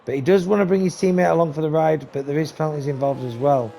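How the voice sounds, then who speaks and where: A man calls a sim race live, talking straight to his viewers with a raspy, fairly high voice that is going hoarse. He jumps into sudden excited bursts when the racing gets close. Engine noise from the race plays loudly under him the whole time. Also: fast. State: losing his voice. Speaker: race commentator. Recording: noisy stream with engine sound. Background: race car engine noise.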